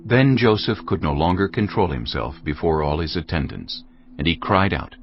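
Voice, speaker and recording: A man's voice: low-pitched, animated, normal speed, slight background noise. A man narrating scripture as a dramatic scripted reading. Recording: dull audio with clear music bed.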